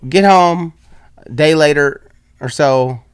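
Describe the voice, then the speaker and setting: A man's voice: on the high side, slow pace, clear, resonant tone. A man co-hosting a podcast in a casual, rambling chat, often restarting his sentences. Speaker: podcast co-host.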